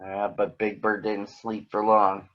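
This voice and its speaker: A man's voice: on the low side, monotone, unhurried, highs cut off. A man reading a picture book aloud to children. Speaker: children's story reader.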